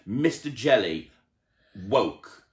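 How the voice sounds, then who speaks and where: Male children's entertainer: storybook narration, highly varied intonation, audible room echo. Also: expressive, drawn-out words. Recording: roomy, echoey indoor sound.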